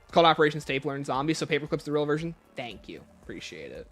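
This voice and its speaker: A man with a fairly high, very animated voice hosts a casual livestream. He reads viewer questions aloud and answers them conversationally, turning aside to chat with the audience. Light music plays behind him. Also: racing. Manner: conversational, breaks off to greet and thank viewers. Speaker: livestream host.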